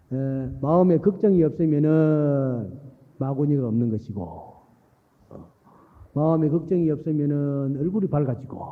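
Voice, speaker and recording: A man, a Buddhist monk, giving a sermon in a folksy explaining way, repeating phrases for emphasis and putting rhetorical questions to his listeners. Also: a little high. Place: large hall at a temple dharma assembly. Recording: reverberant hall, dull muffled sound.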